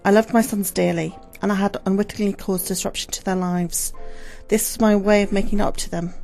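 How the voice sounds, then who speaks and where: A woman's voice: mid-range voice, fairly even intonation, fairly quick, gravelly, dark tone, faint music behind, close to an everyday voice. A woman acting a guilt-ridden, grieving mother in a solemn, formal radio play monologue. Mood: sorrowful, regretful.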